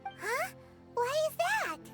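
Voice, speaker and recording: A woman voicing a tiny, cheeky, curious fairy companion with a squeaky, cutesy voice in an anime-style video game. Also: big pitch swings, slow pace, over loud music, exaggerated voice.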